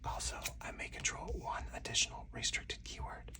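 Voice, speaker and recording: Whispered voice, breathy, slow and deliberate, ASMR tabletop playthrough, slightly distant microphone. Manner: slow, deliberate, hushed delivery. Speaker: solo card game player and host. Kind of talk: ASMR whispered card game playthrough with commentary.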